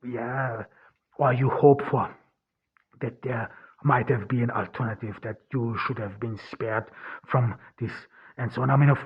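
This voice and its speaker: A man whose first language is German, talking through a personal monologue as a podcast host in a halting, earnest way, restarting and searching for words. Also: medium pitch, fairly even intonation, breathy edge. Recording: dull lo-fi recording.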